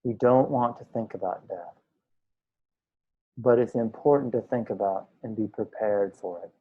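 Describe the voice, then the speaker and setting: A man teaching a guided meditation, speaking in unhurried short phrases with pauses between them. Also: fairly low pitch, husky, phone-quality sound. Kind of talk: guided meditation, slow instructional speech.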